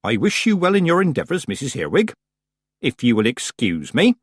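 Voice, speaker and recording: A man voicing a stern old woman witch who speaks calmly, coldly and tersely. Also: mid-range voice, normal speed, husky, dry recording, studio quality, pitched above the performer's own voice. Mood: icy calm. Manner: calm, clipped, coldly controlled.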